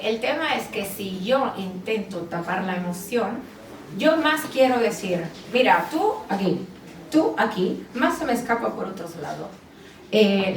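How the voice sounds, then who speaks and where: A woman psychologist with an Italian accent, speaking with many hesitations and fillers at a book presentation. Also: medium pitch. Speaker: psychologist and author. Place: bookstore event space. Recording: roomy, distant mic with background noise.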